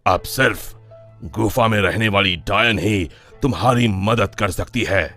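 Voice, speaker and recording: A man voicing a solemn holy man in an animated story, giving grave, measured counsel. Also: on the low side. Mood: grave, advising. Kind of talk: animated moral story dialogue. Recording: music under the voice.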